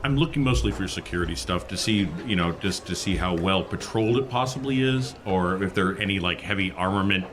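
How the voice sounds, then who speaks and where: A man's voice: sits low, fairly quick, few pauses, a crowd in the background. A man casually talking through his character's moves as a player in a tabletop role-playing game. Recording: clear background noise.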